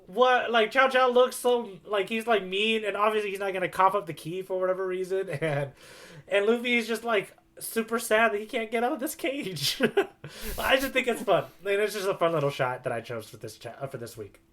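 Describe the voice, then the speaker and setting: A man's voice: high-pitched, a little fast, a bit bright. A man co-hosting a manga podcast, chatting informally and joking along. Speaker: podcast co-host. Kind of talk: casual chat about manga.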